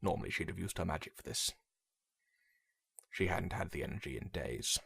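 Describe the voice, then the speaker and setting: A man reading dramatic fiction as a narrator, in a brooding, measured, theatrical way. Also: deep voice, animated, creaky, fairly bright tone, slightly rough, no room sound, clean studio sound. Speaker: story narrator. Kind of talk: dramatic scripted story narration.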